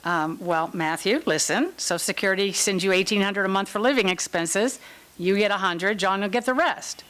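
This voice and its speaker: A woman's voice: medium pitch, normal speed, fairly bright tone, lightly acted. Casting: actress for caring, firm female guardian in a stage play, mid-range voice, patient explanatory delivery. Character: caring but firm woman, legal guardian of a troubled man.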